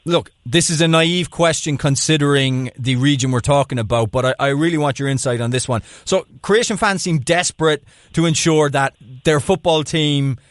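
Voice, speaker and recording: A man's voice: a little high, moderate pace, hardly any pauses, no room sound. Thoughtful male studio host, dry sound. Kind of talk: podcast interview questions.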